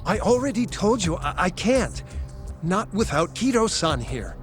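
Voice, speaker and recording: A man's voice: high-pitched, big pitch swings, unhurried, faint music behind. A man playing an anxious, stammering research scientist in a dramatic video game. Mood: flustered, pleading. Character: nervous, flustered male research scientist.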